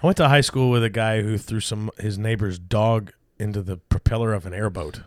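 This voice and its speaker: A man co-hosting a podcast, dropping deadpan one-liners in casual banter. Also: lowish voice, brisk, no echo, clean studio sound. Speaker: podcast co-host.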